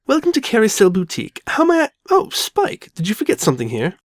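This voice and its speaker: A man's voice: high-pitched, huge pitch swings, quick pace, no room sound, clean studio sound, pitched above the performer's own voice. A man voicing an elegant, genteel female pony with refined, theatrical and formal diction in a dramatic fan fiction reading. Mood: hurt, delicate.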